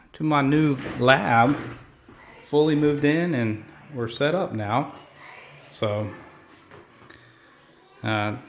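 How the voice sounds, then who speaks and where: A man with a medium-pitched voice narrates a hands-on repair vlog. He explains things informally and without a script, rambling from one point to the next as he hosts. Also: fairly bright tone, a little hiss or hum. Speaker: host of a radio repair channel.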